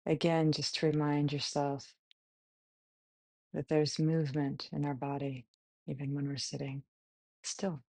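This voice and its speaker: Female meditation guide, mellow and even, gentle short phrases with long pauses, close dry sound. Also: deep voice, dry recording. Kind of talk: guided body scan meditation.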